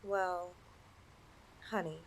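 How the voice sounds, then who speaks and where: A woman's voice: on the low side, fairly even intonation, extremely slow, low-quality recording. A woman playing a possessive, teasing snake girl in an ASMR audio roleplay, with a coy, cooing delivery. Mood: sweet, teasing. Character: possessive, teasing young yandere lamia girl, half snake.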